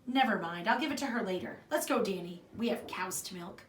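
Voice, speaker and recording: A woman's voice: mid-range voice, animated, a little fast, a little hiss or hum, close to an everyday voice. Woman doing a hearty, over-friendly neighbor man, roomy sound. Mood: hesitant. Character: booming, super friendly, nervous neighbor man, a father. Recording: roomy echo, distant mic.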